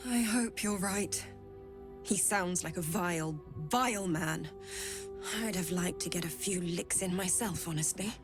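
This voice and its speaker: A woman's voice: on the low side, unhurried, music in the background, lightly acted. A woman playing a caring, worried partner of a warrior in a fantasy video game, speaking earnestly and plainly.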